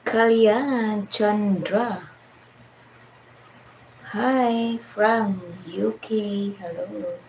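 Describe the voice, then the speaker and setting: A woman's voice: medium pitch, very slow, pauses often, a little mellow, a little hiss or hum, rough recording. A Filipina woman with a Filipino accent hosting a casual live stream, chatting with viewers, greeting them by name and laughing often. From Philippines.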